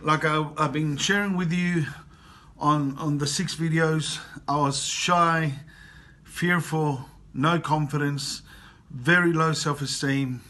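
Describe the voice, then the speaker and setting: A man's voice: on the high side, steady tone, takes it slowly, bright, forceful tone, slight background noise. A man in his fifties from Chile, giving an earnest motivational talk and repeating phrases for emphasis. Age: 50s. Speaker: motivational coach. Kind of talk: personal motivational talk to camera. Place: travelling abroad.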